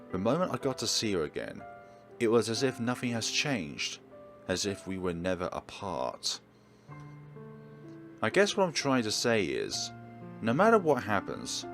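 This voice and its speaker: A man voicing an awkward, earnest high school protagonist who speaks hesitantly in a visual novel. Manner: hesitant, halting, stammering.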